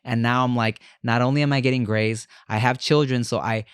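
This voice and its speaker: A man's voice: mid-range voice, steady tone, fairly quick, smooth, no echo, studio quality. A man in his thirties hosting a podcast in loose, profane casual chat, full of "you know" and "like" fillers. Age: 30s. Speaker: podcast host.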